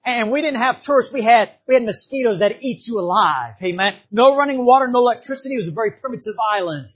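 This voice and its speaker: Man, from the Bahamas, church preacher, fervent, repeats words, husky voice. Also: very high voice, expressive, fast talker, muffled recording. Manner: fervent, repetitive, calls of amen. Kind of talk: revival sermon.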